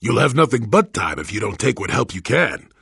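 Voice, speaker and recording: Casting: crotchety old space-fantasy hermit for a video game, low and raspy, wry, swinging theatrically in tone. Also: male voice, very animated, brisk, a bit bright, dry recording, studio quality, heavily acted. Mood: firm, bargaining. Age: older. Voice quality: gravelly, raspy. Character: cantankerous wry old male hermit, a Force-sensitive former Jedi. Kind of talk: video game character voice acting.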